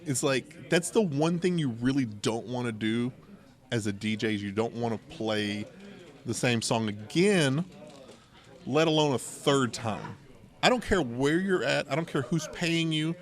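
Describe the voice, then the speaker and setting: Middle-aged American male podcast host, mid-pitched, casual raconteur who wanders into asides. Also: lively intonation, unhurried. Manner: conversational, digressive, frequent asides. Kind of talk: casual storytelling and banter. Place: local game store.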